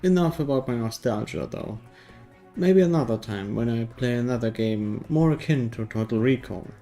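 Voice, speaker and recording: A man's voice: mid-range voice, expressive, normal speed, mellow, faint music behind. A man narrating a scripted video game review in a wry, tongue-in-cheek way.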